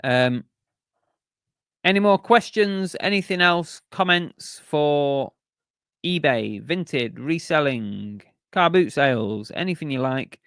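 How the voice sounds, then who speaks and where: A man's voice: fairly high pitch, lively intonation, slow pace, a bit bright, clean tone, dry recording, clean studio sound. A man hosting a casual live stream about reselling, rambling with fillers and restarts while his voice is giving out. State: losing his voice.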